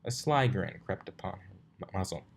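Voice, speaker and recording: Low-pitched man reading a story aloud. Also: animated, normal speed, vocal fry, slight background noise. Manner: stumbles and rereads phrases. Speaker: fan fiction reader. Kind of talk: story narration read aloud.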